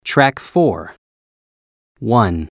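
Very low-voiced woman announcing tracks. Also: very animated, dry recording, studio quality. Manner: slow, deliberate announcing. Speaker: audio narrator. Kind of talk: textbook audio track reading.

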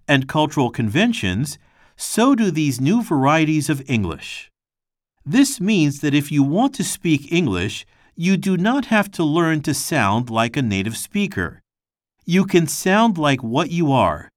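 A man giving a measured, expressive scripted reading of a textbook passage. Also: on the high side, no room sound, clean studio sound. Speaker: textbook audio reader.

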